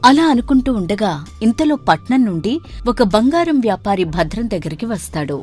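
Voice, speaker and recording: A woman's voice: on the high side, very animated, brisk, darkish tone, faint music behind. A woman reading scripted narration for a moral story as its narrator.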